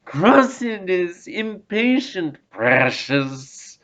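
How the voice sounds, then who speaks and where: A man's voice: high voice, big pitch swings, drawn-out words, a bit bright, voice pushed up, full character voice. A man voicing a wretched, sly cave creature who hisses and wheedles, in a dull lo-fi audiobook recording. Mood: wheedling. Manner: hissing, wheedling, talks to himself. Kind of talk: audiobook reading.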